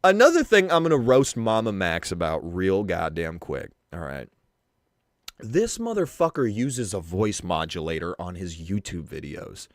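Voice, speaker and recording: A young man with a deep voice giving crude, mocking commentary and swearing freely. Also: sing-song, normal speed, no room sound, clean studio sound. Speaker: commentary streamer. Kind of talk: casual drama commentary.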